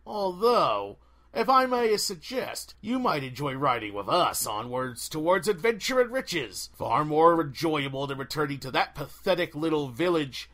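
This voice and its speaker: A man acts out a smug storybook bandit chief. He is pompous and mock-courtly, speaking in grand archaic phrases. His pitch sits on the high side and swings in a lively way. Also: measured pace, heavily acted. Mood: smug, threatening.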